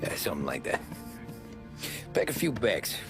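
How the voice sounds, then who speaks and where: A weary mobster in a cinematic game, guarded and terse. His low voice moves up and down freely, understated in delivery. Music runs clearly underneath. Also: very animated, normal speed, heavily acted. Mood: guarded, casual. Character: weary, guarded working-class male gangster, terse and restless. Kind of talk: cinematic video game acting.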